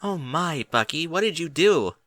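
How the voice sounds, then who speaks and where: A man's voice: on the high side, lively intonation, moderate pace, a bit bright, dry recording, pitched above the performer's own voice. A man voicing a cheerful, friendly, easily flustered female pegasus pony in an audiobook. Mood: surprised, flustered. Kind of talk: amateur audiobook character voice.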